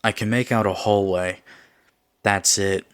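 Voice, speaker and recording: A man's voice: fairly low pitch, fairly even intonation, unhurried, clear, resonant tone, no room sound, lightly acted. A man voicing a brooding, determined young explorer in a horror story, speaking in terse, defensive dialogue. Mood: focused. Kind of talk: acted dialogue in horror narration.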